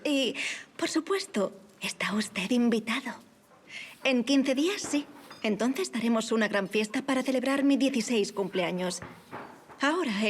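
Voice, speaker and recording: Woman acting high-voiced, playful young marquise. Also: close to an everyday voice. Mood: poised. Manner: poised, playful, teasing. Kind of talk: audio drama acting.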